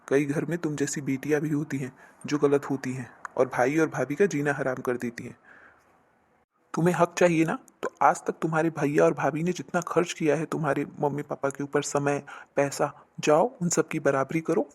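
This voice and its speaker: Man voicing stern husband, slightly high pitch, reproachful, emphatic lecturing, animated family moral drama. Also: husky. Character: stern, reproachful husband defending his sister.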